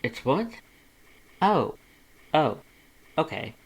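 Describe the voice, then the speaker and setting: A man's voice: fairly high pitch, sing-song, very slow, husky, pitched above the performer's own voice, heavily acted, low-quality recording. A man voicing a lazy, gloomy, guarded male skeleton with a casual, mumbling, growling delivery. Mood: stunned.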